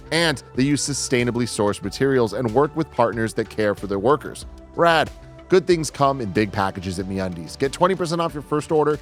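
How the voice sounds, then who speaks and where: A man who hosts a podcast, delivering a scripted sponsor read. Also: mid-range voice. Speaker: podcast host reading sponsor ads. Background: background music.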